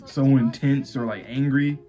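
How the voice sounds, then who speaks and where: A man's voice: medium pitch, fairly even intonation, slow, warm tone, faint music behind. A man giving slangy, laid-back commentary in a casual reaction chat. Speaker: anime reaction host.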